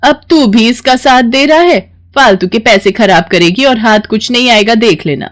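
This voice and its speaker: A woman's voice: fairly high pitch, normal speed, voice pushed up, close to an everyday voice. A woman voicing a nagging, scornful older mother-in-law in a cartoon story, sarcastic and scolding. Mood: grumbling.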